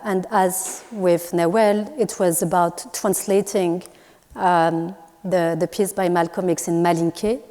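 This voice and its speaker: A woman from Morocco whose first language is Moroccan Arabic, giving a thoughtful public talk as an artist and pausing often with fillers. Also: on the low side, dark tone. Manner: thoughtful, hesitant with many fillers and restarts. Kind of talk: public talk at a university event. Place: lecture hall. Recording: long hall reverb.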